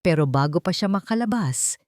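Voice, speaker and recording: A woman's voice: fairly low pitch, expressive, fairly quick, a little mellow, no echo, clean studio sound. A woman storyteller reading scripted narration of a dramatic love story.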